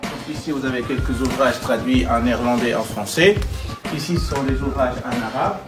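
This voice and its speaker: A man's voice: mid-range voice, fairly quick, roomy sound, music in the background. A man from Senegal, an imam, guiding visitors around his centre and explaining, with many fillers. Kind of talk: guided tour explanation.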